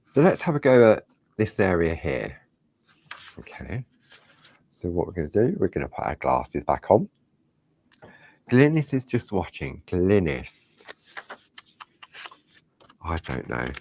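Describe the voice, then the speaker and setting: A man with a slightly croaky voice, demonstrating a parchment craft step by step and chatting to himself as he works. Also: deep voice, very animated, takes it slowly, pauses often, darkish tone, no echo. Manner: chatty, talks to himself while working. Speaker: craft tutorial host.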